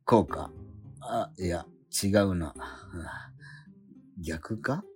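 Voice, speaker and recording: An actor playing a struggling painter in a radio drama, muttering to himself and stammering when startled. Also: male voice, deep voice, lively intonation, extremely slow, faint music behind, exaggerated voice. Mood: puzzled. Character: struggling unsold painter, man, puzzled and easily startled. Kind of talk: first-person radio drama, narrating and acting.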